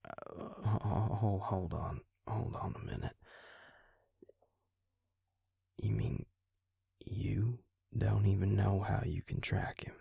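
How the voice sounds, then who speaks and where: A very husky, low-voiced vampire with a Southern drawl, acted by a man, murmurs close and dry in an intimate audio roleplay. He is gentle and protective, with a teasing charm, and takes his time over every phrase. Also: fairly even intonation, dry recording, exaggerated voice. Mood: puzzled. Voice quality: breathy, gravelly. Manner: unhurried, soothing, half-whispered. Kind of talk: intimate scripted audio roleplay, addressing the listener.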